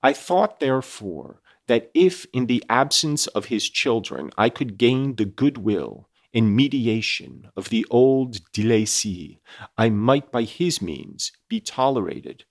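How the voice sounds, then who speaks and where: A man voicing an earnest, anguished outcast creature in a solemn, formal, measured storybook reading. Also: medium pitch, sing-song, gravelly, exaggerated voice. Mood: wistful, solemn.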